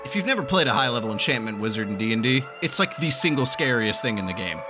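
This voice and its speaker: A man's voice: medium pitch, big pitch swings, fast talker. A man giving casual, playful gaming commentary, tossing in jokey interjections as he reacts to a story. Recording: game music behind the voice. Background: video game music.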